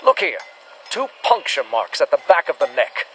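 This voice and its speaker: An actor playing a bombastic, self-important but cowardly monster hunter in an old-fashioned radio adventure serial, proclaiming theatrically. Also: male voice, a little high, very animated, moderate pace, a bit bright, slight background noise. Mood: intrigued. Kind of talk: 1940s-style radio adventure serial acting.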